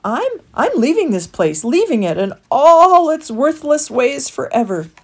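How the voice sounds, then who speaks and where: As a woman reads aloud, a jittery young rat stammers in a raised, husky voice, tight with emotion. He sounds anxious and eager to please. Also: high-pitched, animated, moderate pace, dark tone, pitched above the performer's own voice. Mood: anxious, pleading.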